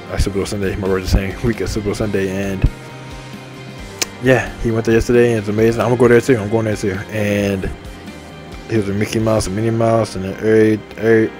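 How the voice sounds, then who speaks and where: A man in his twenties talking casually and repetitively over a game, enthusiastic and full of shout-outs. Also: fairly low pitch. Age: 20s. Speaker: gaming channel host. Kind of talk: rambling casual monologue over game menu. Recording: faint game music behind. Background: faint game menu music.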